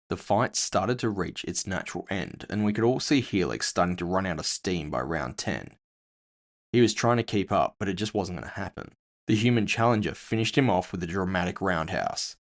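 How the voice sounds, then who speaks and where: Low-voiced man narrating fiction, animated pitch. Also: creaky. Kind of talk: scripted first-person fiction narration.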